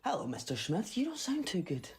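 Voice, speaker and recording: A man playing a quirky, upbeat time-travelling alien hero with a breezy, excitable manner in a sci-fi audio drama. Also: high voice, a little fast. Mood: concerned, brisk.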